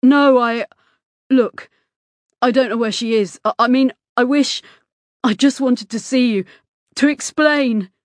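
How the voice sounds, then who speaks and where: A woman's voice: fairly high pitch, a little slow, dry recording, clean studio sound, voice pushed up, natural voice. A woman voicing a distraught, guilty teenage schoolboy in an audiobook story. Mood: upset, pleading.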